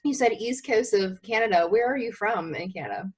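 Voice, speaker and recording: A woman hosting an interview, chatty and quick to laugh. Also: mid-range voice, slightly rough. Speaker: podcast host. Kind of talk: casual interview chat.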